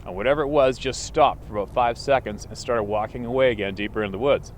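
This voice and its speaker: A man's voice: mid-range voice, quick pace, a little hiss or hum. Man reading a listener's story outdoors. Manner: plain storytelling, steady reading. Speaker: channel host reading a listener letter. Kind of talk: reading a viewer's story aloud. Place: outdoors by the water.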